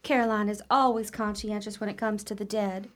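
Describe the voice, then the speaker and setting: Woman playing a dramatic gothic spinster sister, mid-pitched. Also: fairly even intonation, a little fast, heavy background noise, lightly acted. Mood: grave, confiding. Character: unmarried gossipy sister in a gothic household. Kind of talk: old-fashioned radio play acting.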